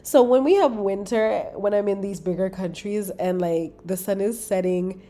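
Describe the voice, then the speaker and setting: Mellow woman with a cold. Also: mid-range voice, moderate pace. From Caribbean. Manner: affectionate, motivational, conversational. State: congested from a cold, sniffly. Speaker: podcast host. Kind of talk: casual spiritual podcast talk. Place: London apartment near a busy road.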